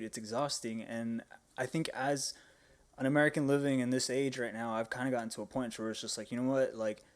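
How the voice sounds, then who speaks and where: A man's voice: medium pitch, darkish tone. A man co-hosting a casual podcast, talking in a rambling, slangy, informal way. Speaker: podcast co-host.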